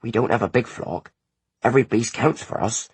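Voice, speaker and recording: A man's voice: medium pitch, moderate pace, breathy edge, no echo, pitched above the performer's own voice. A man voicing a weary, grieving peasant mother, sorrowful and pleading, in an audiobook.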